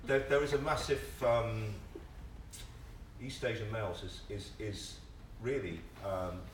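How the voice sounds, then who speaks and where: A man's voice: on the low side, animated, a little slow. A man speaking as an actor on a discussion panel, impassioned, often repeating words and phrases as a point builds. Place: live panel in a theatre before an audience. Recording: echoey room, distant mic, background noise.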